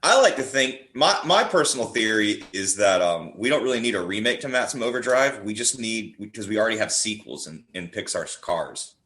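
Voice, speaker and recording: A man's voice: lowish voice, animated, brisk, hardly any pauses, sharp tone. A man chatting casually about films as a podcast guest, riffing playfully and slipping into mimicked dialogue. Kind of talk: casual film chat. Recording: roomy, echoing voice.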